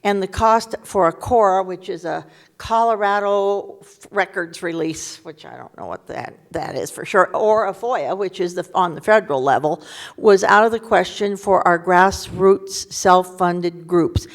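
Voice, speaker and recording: A woman's voice: lowish voice, measured pace. A woman presenting to a community meeting on a handheld microphone, chatty with laughing asides and self-corrections. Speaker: community group panelist. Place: museum meeting room.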